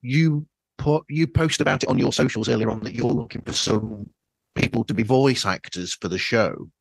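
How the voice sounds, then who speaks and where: A man's voice: medium pitch, moderate pace, vocal fry, no room sound, rough recording. A man hosting a casual interview, asking rambling, roundabout questions through a flawed microphone. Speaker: podcast host, interviewer. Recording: flawed microphone sound, dry.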